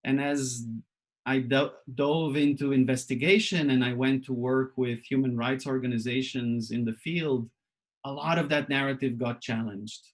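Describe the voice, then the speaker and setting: Man from Israel, mid-pitched, reflective presenter, halting and thoughtful delivery. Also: steady tone. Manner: thoughtful, searching, with frequent pauses and restarts. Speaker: workshop presenter. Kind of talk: reflective personal talk.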